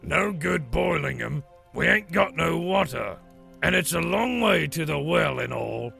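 A man voicing a quarrelsome, rough troll with a harsh, gravelly rustic voice for an audiobook. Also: on the high side, animated, measured pace, pushed and bright, soft background music, voice pushed up, heavily acted. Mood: grumbling. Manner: bickering, ungrammatical rustic speech. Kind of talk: audiobook character voice.

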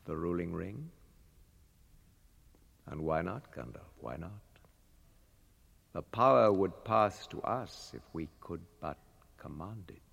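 A proud old wizard declaims in a cavernous, ringing hall. His lowish voice swings wildly as he schemes for power with theatrical scorn, in radio drama style. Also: lively intonation, takes it slowly, creaky, pauses often, fairly bright tone, husky, heavily acted. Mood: persuasive, scheming. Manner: grandiose, theatrical, scornful oratory. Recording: reverberant chamber radio drama sound.

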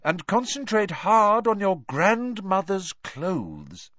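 A man voicing a cheerful, kindly middle-aged male teacher who talks in a gentle, encouraging way. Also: on the high side, very animated, a little slow, no room sound, highs cut off, pitched above the performer's own voice.

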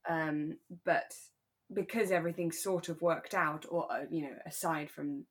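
A woman's voice: low voice, steady tone, measured pace. A woman chatting casually as a podcast co-host, recapping a radio drama in a conversational way. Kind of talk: relaxed chat discussing a radio drama.

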